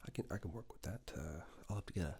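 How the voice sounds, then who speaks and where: A man speaking softly and breathily in an unhurried ASMR roleplay, playing a polite tailor who murmurs instructions with pauses. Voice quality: soft, breathy, half-whispered low voice. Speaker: ASMR performer playing a tailor taking suit measurements. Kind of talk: soft-spoken ASMR roleplay, personal attention.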